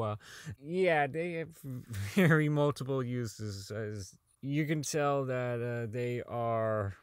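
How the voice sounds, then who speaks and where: A man's voice: medium pitch, clear, resonant tone, dry recording, studio quality, natural voice. A man playing a socially inept, unravelling robot designer in an audio drama log, rambling, stammering, swearing and repeating himself. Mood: rambling, unsure.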